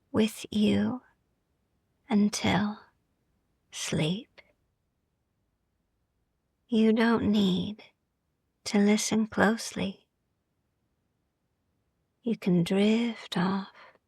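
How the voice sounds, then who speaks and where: A woman guiding a sleep meditation in a soft, gentle, soothing ASMR style, hushed and often slipping into whispers, with long pauses. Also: mid-range voice, steady tone, vocal fry, slightly rough, no room sound, clean studio sound. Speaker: sleep meditation podcast host.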